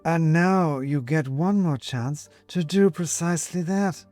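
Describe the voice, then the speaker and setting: A man voicing a sly, predatory house cat that taunts its prey in a slow, condescending drawl. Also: fairly high pitch, darkish tone, no echo, soft background music, pitched above the performer's own voice, natural voice. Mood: taunting.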